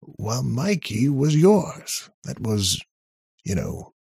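A man voicing an awkward, defensive male inventor in a story, with a halting, stammering delivery. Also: mid-range voice, very animated, creaky, a little mellow, husky, dry recording, clean studio sound, voice pushed up, heavily acted. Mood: hesitant.

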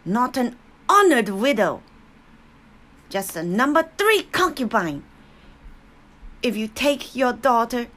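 Stern, shrill elderly matriarch, scolding and slow-spoken, put on by a woman reader. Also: fairly bright tone, exaggerated voice.

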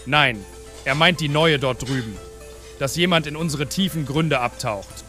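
A man's voice: a little high, unhurried, sharp tone, soft background music. A man playing a blunt, practical member of a philosophers' circle in a comic audio drama.

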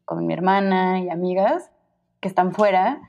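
Mexican woman with a low, mellow voice. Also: normal speed, creaky. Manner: rambling, many fillers and restarts, laughs easily. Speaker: guest. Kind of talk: casual conversation.

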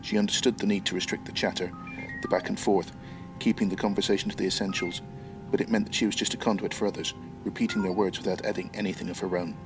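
A man's voice: deep voice, flat delivery, quick pace, over music. A middle-aged man from Northern Ireland, speaking as a writer and podcast guest. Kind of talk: interview answers and reading own short story.

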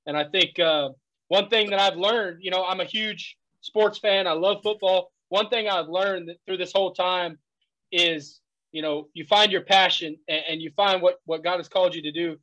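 A man's voice: high voice, fairly even intonation, normal speed, bright, forceful tone, muffled recording. A man co-hosting a casual podcast, enthusiastic and stammering through repeated words. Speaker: podcast co-host.

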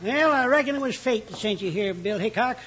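An actor playing a cantankerous old American rancher with crotchety frontier slang in a 1950s radio western. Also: male voice, high-pitched, expressive, brisk, background noise. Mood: troubled. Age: older. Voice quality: husky, cackling old voice. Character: cantankerous, trigger-happy old male rancher. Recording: dull old radio recording.

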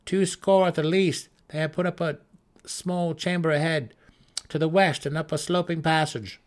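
A man voicing an urgent, grim male gnome scout in a fantasy story reading, giving an emphatic, halting report. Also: fairly high pitch, fairly even intonation, pitched above the performer's own voice, close to an everyday voice. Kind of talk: fantasy audiobook character voice.

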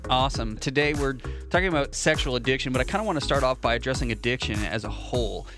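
A man's voice: medium pitch, fast. Man, pastor, podcast host, chatty, upbeat, music bed behind. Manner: upbeat, chatty, laughs easily. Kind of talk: casual faith discussion podcast.

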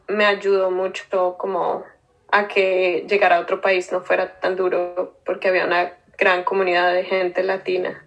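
Unhurried Colombian woman, thoughtful. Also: mid-range voice, rough recording. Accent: Colombian Spanish, Bogotá. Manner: thoughtful, hesitant with many fillers. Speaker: guest. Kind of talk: casual interview answers.

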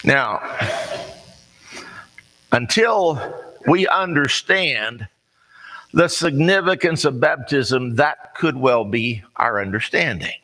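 American man, preacher, bright forceful voice, folksy plain-spoken delivery, deliberate pauses, long hall reverb. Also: medium pitch, lively intonation. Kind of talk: sermon with conversational storytelling. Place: large reverberant room, preaching to a congregation.

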